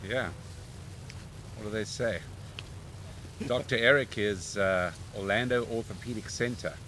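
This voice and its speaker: A man's voice: lowish voice, unhurried, low-quality recording. A man with a big voice doing casual live commentary at a rowing regatta, reading sponsor notes between races. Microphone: standing next to a microphone. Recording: broadcast mic with steady outdoor noise.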